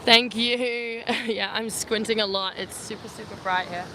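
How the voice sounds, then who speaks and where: A woman's voice: a little high, fairly even intonation, unhurried. A woman in her twenties with a New Zealand accent, chatting casually on a phone livestream outdoors, full of "like" fillers. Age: 20s. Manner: chatty, filler-heavy with frequent "like". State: sweaty, squinting in the sun. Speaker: travel vlogger. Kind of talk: casual livestream chat answering viewer questions. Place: outdoors on a beach promenade. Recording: faint outdoor street noise. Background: faint street and traffic noise.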